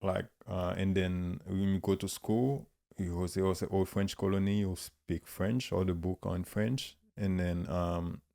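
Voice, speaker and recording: Very deep-voiced man. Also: little pitch movement, gravelly, warm tone. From Haiti. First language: Haitian Creole. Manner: halting, searching for words. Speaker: guest artist. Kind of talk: casual conversation.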